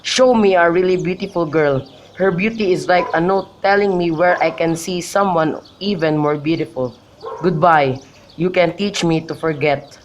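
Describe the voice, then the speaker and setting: A man's voice: a little high, moderate pace, close to an everyday voice. A young man playing a lovesick, brooding youth in an amateur radio drama of modern-English Shakespeare, with birdsong behind him. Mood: pining, despairing. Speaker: student actor. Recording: faint background noise with birdsong.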